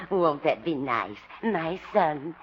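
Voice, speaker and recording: An actress playing an older, cheery, doting mother who gently chides, in a 1950s radio comedy. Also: deep voice, lively intonation, very slow, full character voice. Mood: fond, cheery. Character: cheery, doting elderly mother of grown son. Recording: muffled, hissy 1950s radio recording.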